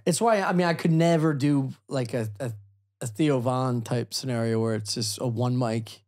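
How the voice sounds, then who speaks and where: A man co-hosting a comedy podcast, bantering with crude jokes and letting his lines trail off quietly. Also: medium pitch, moderate pace. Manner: crude joking, trails off quietly at line ends.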